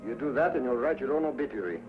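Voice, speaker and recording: Man acting a calm Western hero, tinny old soundtrack. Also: medium pitch, fast talker, natural voice. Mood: firm, cautioning. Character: heroic Western adventurer, calm, courteous, resolute. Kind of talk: 1950s television Western acting. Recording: tinny, band-limited 1950s TV soundtrack with music.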